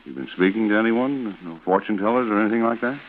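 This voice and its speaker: An actor playing a polite, probing small-town official with a hesitant, matter-of-fact way of questioning, in an old-time radio suspense drama. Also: male voice, lowish voice, fast, lightly acted. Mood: probing, puzzled. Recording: noisy, tinny vintage radio broadcast.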